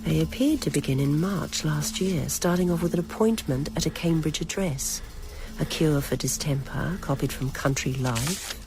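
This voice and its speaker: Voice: medium pitch, moderate pace. Narrator reading a novel over prominent music. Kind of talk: scripted audiobook-style narration of a novel.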